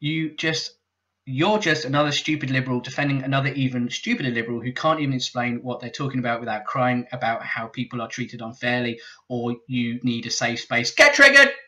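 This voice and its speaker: A British man voicing a ranting, angry male internet commenter, loud and indignant. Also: mid-range voice, expressive, fairly quick, muffled recording. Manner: shouty, indignant, escalating.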